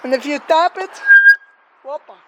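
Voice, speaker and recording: A woman's voice: high-pitched, moderate pace. A woman chatting casually and rambling as she presents a gear test outdoors, informal, sweary and self-deprecating, in a noisy location recording. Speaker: gear tester presenting a megaphone test video. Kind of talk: casual rambling vlog chat. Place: outdoors in an open area near a road.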